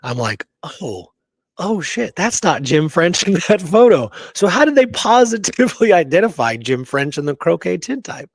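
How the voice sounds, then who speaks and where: A man's voice: sits high, big pitch swings, normal speed, dry recording. A man co-hosting a casual history discussion, talking emphatically and often checking in with "right?". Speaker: podcast co-host.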